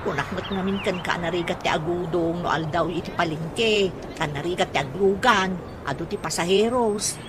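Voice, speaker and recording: An actress playing a fault-finding, complaining village wife in an Ilocano radio comedy drama, with an Ilocano accent and a grumbling manner. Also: female voice, fairly low pitch, normal speed, natural voice. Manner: scolding, grumbling. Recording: noisy radio drama with ambience.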